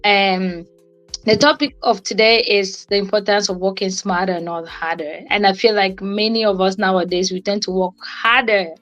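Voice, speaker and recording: A young woman speaks at a middling pitch with music behind her. She talks informally and motivationally, as on a livestream, often repeating herself to drive a point home. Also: fairly even intonation, moderate pace, fairly bright tone, muffled recording. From Cameroon. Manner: conversational, repeats phrases for emphasis. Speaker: co-host and guest speaker on a livestream.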